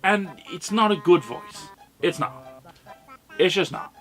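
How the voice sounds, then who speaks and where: A man's voice: high-pitched, expressive, slow, fairly bright tone, faint music behind. A British man giving an informal, opinionated video review with sardonic, sweary commentary. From Britain. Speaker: host and reviewer.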